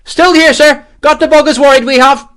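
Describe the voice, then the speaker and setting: A cheerful Irish lieutenant played by a male audiobook narrator, calling out in a high, loud voice. He is brisk and sure of himself, a confident soldier in the field. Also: fairly even intonation. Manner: calling out loudly, brisk, assured. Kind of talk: audiobook character acting.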